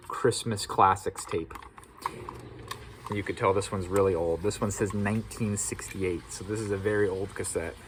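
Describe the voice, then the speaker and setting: A man with an American accent talking casually as a video host, plain-spoken and opinionated. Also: lowish voice. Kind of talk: casual informal video talk about collecting. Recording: clearly noisy background ambience.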